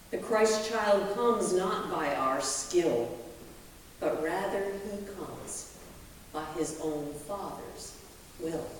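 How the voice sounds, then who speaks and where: A woman pastor reading a poem aloud in a deliberate, measured way with dramatic pauses, recorded in a large reverberant hall. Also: mid-range voice, darkish tone, a little hiss or hum. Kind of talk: poetry reading from the pulpit. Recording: very echoey hall, distant microphone.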